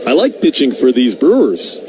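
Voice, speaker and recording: A man's voice: medium pitch, huge pitch swings, moderate pace. Male baseball announcer, noisy broadcast. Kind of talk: live radio baseball commentary.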